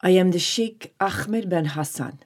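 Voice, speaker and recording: A commanding desert sheikh, acted out by a woman narrator, speaks in a very low, dark voice. He is calm and slow, scornful and imperious, with a seductive menace suited to a romance audiobook. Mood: cold, commanding.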